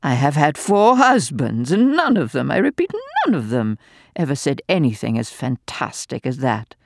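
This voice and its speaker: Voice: mid-range voice, very animated, normal speed, gravelly, no room sound, clean studio sound. A reader voicing a spiteful, venomous old aristocratic woman who sneers and gloats theatrically. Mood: mocking.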